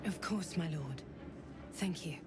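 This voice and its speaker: A woman's voice: low voice, slow. A woman voicing a stern, imperious cult commander in a video game, with formal speech. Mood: deferential. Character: stern, imperious female cult commander, deferential to her lord. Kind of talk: video game character acting. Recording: game audio with loud music.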